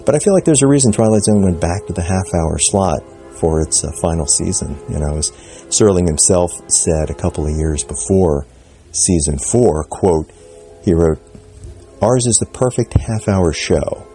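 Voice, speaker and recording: A man with a very low, dark voice talks through his thoughts in a loose, hesitant way, full of fillers and restarts. Faint music plays behind him. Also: normal speed. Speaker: podcast contributor. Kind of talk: casual spoken commentary.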